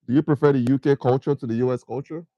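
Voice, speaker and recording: A man's voice: mid-range voice, fairly even intonation, fast, no room sound, lo-fi sound. A middle-aged man from Nigeria with a Nigerian accent, hosting a casual livestream where he keeps firing questions at viewers and slips into pidgin. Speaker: livestream host.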